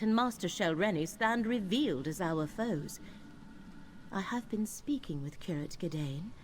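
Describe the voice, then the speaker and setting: A woman voicing a solemn, cryptic emissary in a fantasy video game, speaking in a formal, measured and deliberate way. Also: medium pitch, a little hiss or hum, close to an everyday voice. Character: solemn, cryptic female emissary of a lore-keeping power.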